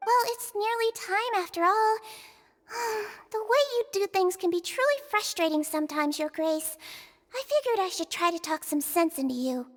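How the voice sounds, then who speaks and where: A woman's voice: very high-pitched, lively intonation, moderate pace, long reverb tail. A woman voicing a tiny, sweet, dutiful childlike nurse with formal, courteous speech in a video game. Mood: gently scolding.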